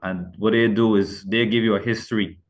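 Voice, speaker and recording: A middle-aged man from Barbados speaks with a Barbadian accent in a somewhat low voice. He talks earnestly and loosely, circling back and correcting himself as he answers interview questions about his writing. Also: fairly even intonation, fairly quick. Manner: rambling, self-correcting, earnest. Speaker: author guest. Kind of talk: casual interview.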